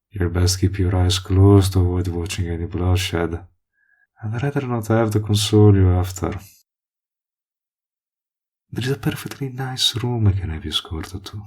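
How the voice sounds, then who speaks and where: A man playing a smug, menacing villain in an audio roleplay, taunting and mock-polite, possessive, with a gravelly, husky voice. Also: low-pitched, darkish tone, full character voice. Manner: taunting, mock-polite, deliberate drawl with drawn-out threats. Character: smug menacing male villain, possessive toward a former friend. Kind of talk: scripted audio roleplay drama.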